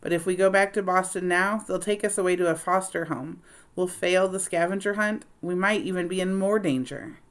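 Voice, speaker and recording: A woman's voice: on the low side, steady tone, moderate pace, pitched above the performer's own voice, natural voice. Storybook girl, voiced by a female reader: young, bookish, earnest, slightly anxious delivery. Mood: pleading, earnest.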